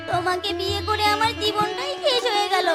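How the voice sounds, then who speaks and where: A woman voicing a nagging, self-pitying fox wife in a children's cartoon, with a whining, sarcastic way of talking. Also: very high-pitched. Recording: music behind the voice.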